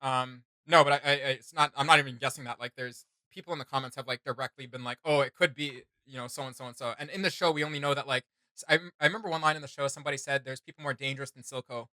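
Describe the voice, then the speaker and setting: A young man hosting a reaction video, chatting casually in an animated, rambling, slangy way. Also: medium pitch, racing, no room sound. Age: young adult. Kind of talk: casual enthusiastic reaction chat. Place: small indoor room with desk and shelves.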